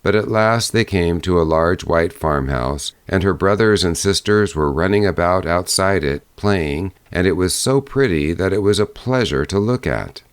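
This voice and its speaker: A man narrating a fairy-tale audiobook in a measured storytelling manner. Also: deep voice, lively intonation, smooth, no room sound.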